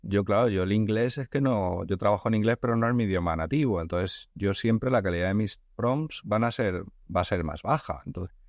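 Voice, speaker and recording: A man's voice: fairly low pitch, brisk, no echo. A man hosting a podcast interview, thinking aloud with frequent restarts and repeated words. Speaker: podcast host. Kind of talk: conversational interview.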